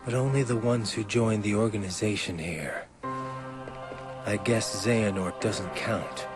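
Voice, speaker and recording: A man's voice: lowish voice, unhurried, natural voice. An actor playing a brooding, musing male video game character in a subdued manner. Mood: pensive. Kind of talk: video game cutscene dialogue. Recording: game audio under very loud music.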